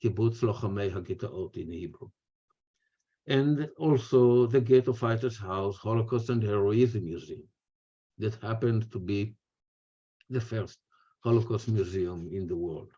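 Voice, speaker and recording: An older man with an Israeli accent delivers a prepared lecture over a video call. His voice is mellow and relaxed, and he moves in deliberate, measured phrases. The audio is dull and lo-fi. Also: fairly low pitch, breathy edge. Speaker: speaker, retired history professor. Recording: muffled, lo-fi webinar audio.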